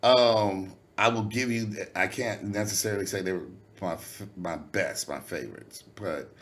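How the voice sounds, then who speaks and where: A man's voice: normal speed, a bit bright. A man, a rapper talking candidly as an interview guest, swearing freely and full of fillers. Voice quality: nasal yet low voice. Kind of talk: casual interview conversation.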